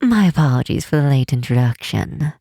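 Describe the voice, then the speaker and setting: A woman voicing a curious, teasing half-elf tower master in a fantasy ASMR roleplay, speaking softly in an unhurried, formal, old-fashioned way. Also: a bass voice, animated, no room sound, clean studio sound, exaggerated voice. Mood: playful, inviting. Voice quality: soft, breathy, husky.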